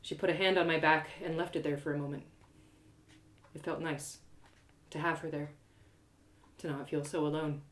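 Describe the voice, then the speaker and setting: A woman storyteller giving a dramatic, deliberate first-person narration from a script. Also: low-pitched, steady tone, roomy sound.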